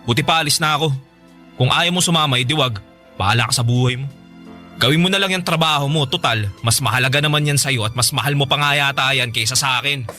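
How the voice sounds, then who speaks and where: Male actor as frustrated boyfriend, fast-talking, forceful bright voice, reproachful, radio drama dialogue, faint music. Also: medium pitch, natural voice. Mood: hurt, angry. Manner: argumentative, reproachful. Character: frustrated, neglected young boyfriend, pleading and sulky.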